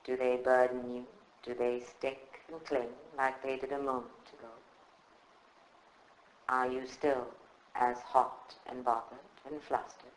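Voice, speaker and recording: Slow, echoey male meditation guide. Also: mid-range voice. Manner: calm, soothing, measured instruction with long pauses. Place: indoor room at a group meditation session. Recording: roomy, echoing live recording.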